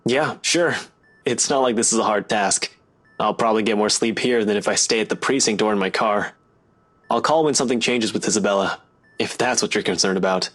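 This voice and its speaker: A gruff police detective from a video game. His voice is fairly low and husky. He talks bluntly and dryly, guarded but sure of himself. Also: a little fast, a bit bright. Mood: dry, reassuring. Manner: blunt, dry, self-assured.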